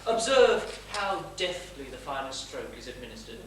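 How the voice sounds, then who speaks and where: A man's voice: medium pitch, big pitch swings, normal speed, fairly bright tone. A man playing a vain, suave seducer in a stage comedy, pompous and theatrical as he confides in the audience. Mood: smug. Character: smug, suave male seducer, vain gentleman narrator. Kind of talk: stage period comedy monologue. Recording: echoey theatre, distant mic, faint noise.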